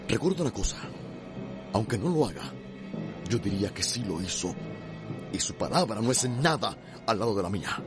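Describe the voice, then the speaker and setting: An actor playing a ruthless, imperious wealthy villain in a radio soap opera, cold and contemptuous. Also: male voice, mid-range voice.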